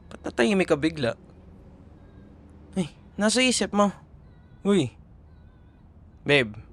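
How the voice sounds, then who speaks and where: Male actor as young boyfriend, Filipino accent, animated swooping pitch, lightish register, tender, proud, audio roleplay. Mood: caring, casual. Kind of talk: ASMR boyfriend roleplay drama.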